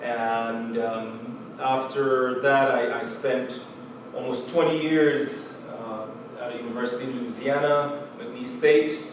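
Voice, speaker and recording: A middle-aged man with a Trinidadian accent speaks slowly and earnestly as a professor, often stopping on "uh" and "um" and going back over his words. He sounds distant from the mic, in a room with strong echo and a clear layer of background noise. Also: mid-range voice, fairly even intonation. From Trinidad and Tobago. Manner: measured, earnest, with frequent "uh" and "um" and repeated words. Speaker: chemistry professor. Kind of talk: recorded university lecture.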